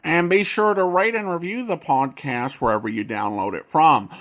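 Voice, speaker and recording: A man hosting a podcast, giving commentary in a deliberate, emphatic way with pauses between phrases. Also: a little high, a bit bright. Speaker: podcast host.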